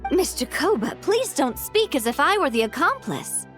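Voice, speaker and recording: A woman's voice: high-pitched, sing-song, normal speed, fairly bright tone, soft background music. A woman voicing a poised young actress in a video game, theatrical and earnest. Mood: solemn. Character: poised young actress delivering solemn dramatic film lines. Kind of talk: video game character acting.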